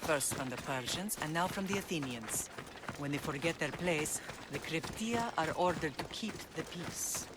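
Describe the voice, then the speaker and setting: A woman playing a stern yet loving older Spartan mother in a video game, speaking in a measured, solemn way. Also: sits low, animated, heavy background noise.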